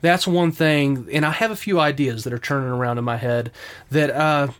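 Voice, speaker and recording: A man co-hosting a faith podcast, speaking thoughtfully with frequent pauses and fillers. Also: a little high, fairly bright tone. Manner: thoughtful, hesitant, many fillers. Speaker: podcast co-host. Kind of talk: casual podcast chat.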